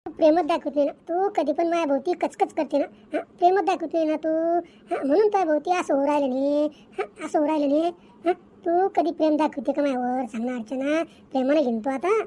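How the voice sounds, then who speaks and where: Man voicing a high-pitched flustered cartoon husband. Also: fast talker, dark tone. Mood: complaining. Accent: rural Maharashtrian Marathi. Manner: repetitive, insistent. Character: pleading, flustered cartoon husband caught between two wives. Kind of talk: cartoon comedy dialogue.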